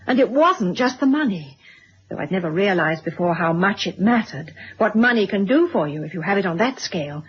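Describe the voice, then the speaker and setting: An actress playing a frank, apologetic middle-aged mistress in a radio drama, articulate and husky. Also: female voice, medium pitch. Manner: articulate, frank, self-deprecating. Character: candid, apologetic mistress confessing to her lover's wife. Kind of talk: 1970s radio drama acting. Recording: dull lo-fi vintage radio recording.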